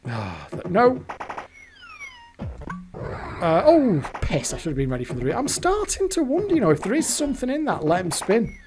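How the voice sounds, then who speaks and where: British guy, hoarse from ranting, cursing at a fruit machine, voice jumps all over, music behind. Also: high voice, wildly swooping pitch, normal speed, long pauses. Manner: rambling, exasperated, swears often. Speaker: video host. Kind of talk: live commentary while playing a fruit machine.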